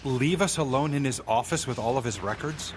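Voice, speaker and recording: A man's voice: mid-range voice, a little fast, a little hiss or hum, lightly acted. An actor playing a cautious male sidekick sleuth in an audio drama, dry and a little hesitant. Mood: wary, wry.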